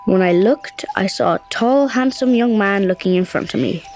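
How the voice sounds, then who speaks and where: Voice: medium pitch, moderate pace, a little mellow, lightly acted. A performer playing a cross, wistful young boy, telling his story in a confiding, reflective way in a children's audio drama. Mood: awed. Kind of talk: first-person narration in children's audio drama. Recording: music clearly behind the voice.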